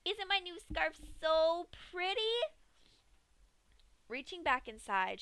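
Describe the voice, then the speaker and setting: A woman reads a horror story aloud at a steady, measured pace. Her voice sits fairly high with a bright, clear edge. The recording is dry and close. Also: dry recording, low-quality recording. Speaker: fanfic reader.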